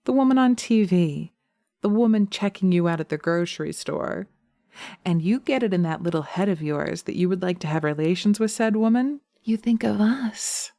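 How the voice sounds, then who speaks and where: A woman playing a seductive, vengeful witch in slow hypnotic audio roleplay, breathy and often whispering, teasing and commanding, close to the mic. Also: on the low side, animated, dark tone, no echo. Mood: mocking, commanding. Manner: sultry, drawn-out, teasing, commanding. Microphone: close microphone, dry binaural recording.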